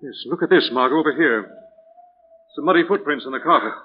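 A man plays a decisive, protective gentleman detective in a forties radio thriller. He is earnest and commanding. The recording is old, muffled and clearly noisy. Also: on the high side, fast, close to an everyday voice. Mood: intent, investigating. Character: calm, commanding gentleman sleuth, protective of his companion. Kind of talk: 1940s radio mystery acting.

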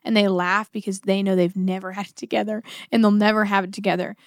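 A woman's voice: medium pitch, little pitch movement, fast talker, no room sound, clean studio sound. A woman co-hosting a podcast, talking earnestly and conversationally about faith, often posing rhetorical questions and voicing imagined quotes. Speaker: podcast co-host. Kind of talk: conversational religious discussion.

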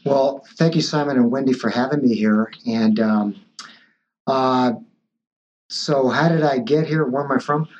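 A man's voice: mid-range voice, measured pace, slight background noise. A man in his sixties, a physician guest, answering interview questions in a hesitant way with many fillers and restarts. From born in Taiwan, raised in Los Angeles. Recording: dull lo-fi audio.

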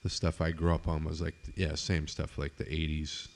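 A middle-aged man hosting a podcast, chatting casually with many fillers and trailing off quietly. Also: extremely low pitch, little pitch movement, normal speed. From eastern United States. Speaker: podcast host. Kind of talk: casual interview chat.

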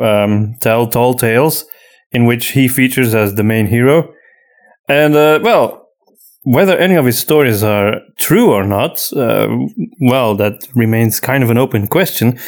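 A man hosting a podcast in casual conversation, explaining things in a measured, reflective way with hesitant pauses. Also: medium pitch, darkish tone. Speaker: podcast host.

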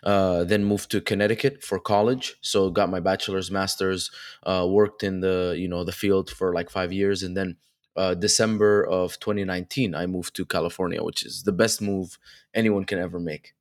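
A young man from Egypt and Dubai, an interview guest telling his story, energetic and chatty with many fillers. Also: deep voice, normal speed. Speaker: guest, online seller. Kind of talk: casual interview chat.

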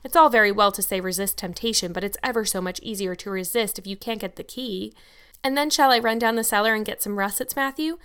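A woman's voice: medium pitch, fast, fairly bright tone, smooth, close to an everyday voice. Woman as a chatty, dramatic young girl. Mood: chatty, earnest. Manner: chatty, earnest, grandly worded. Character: talkative, dramatic, imaginative young girl, confident and bookish. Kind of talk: audiobook character dialogue.

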